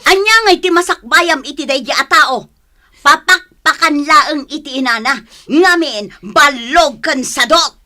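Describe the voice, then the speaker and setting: Scolding mother, sharp high voice. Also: unhurried. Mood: angry, nagging. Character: scolding, disapproving middle-aged mother of a grown daughter. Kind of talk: radio drama acting.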